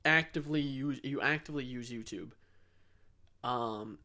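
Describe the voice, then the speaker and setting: A man's voice: medium pitch, normal speed, bright, forceful tone. A man co-hosting a podcast in casual chat, rambling and sarcastic, with an occasional stutter. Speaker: podcast co-host.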